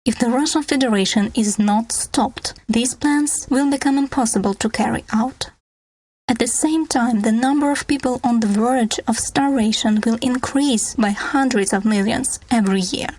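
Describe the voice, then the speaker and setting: A woman's voice: fairly high pitch, moderate pace, a little mellow, low-quality recording. A woman delivering scripted documentary narration as a news podcast narrator.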